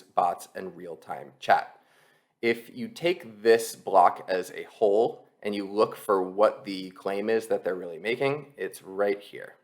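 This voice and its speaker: A man with a fairly low voice gives an explanatory tutorial. He sounds like an instructor talking over a screen share, conversational and going step by step. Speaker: copywriting instructor, presenter.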